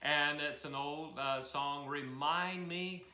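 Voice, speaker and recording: A man's voice: on the high side, slow pace. A man in his sixties, a music teacher hosting the program, chatting in a folksy, self-deprecating way from a mountain cabin. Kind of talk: casual instructional chat. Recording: roomy echo. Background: old piano.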